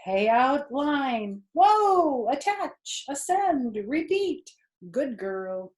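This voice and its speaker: Storybook lady spider, played by a woman: high, sing-song, brisk self-encouragement, roomy sound. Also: very animated, exaggerated voice. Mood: busy, self-encouraging. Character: busy female spider cheering herself on at work.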